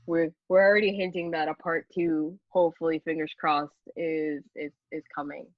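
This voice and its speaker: A woman's voice: sits low, flat delivery, moderate pace, no room sound, dull audio. A woman interviewing someone in a casual, chatty style.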